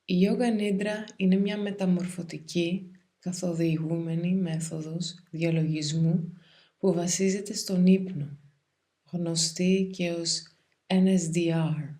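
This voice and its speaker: A woman guides a meditation in a calm, soothing, measured way, leaving pauses between phrases. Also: on the low side, creaky. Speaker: yoga nidra meditation guide.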